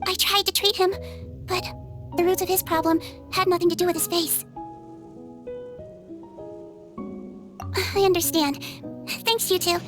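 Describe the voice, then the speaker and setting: Little nurse creature for a video game: extremely high, youthful, gentle, calm, matter-of-fact. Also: female voice, moderate pace, over music. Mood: reflective. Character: small kindly female nurse creature, youthful, calm, candid. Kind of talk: video game cutscene acting.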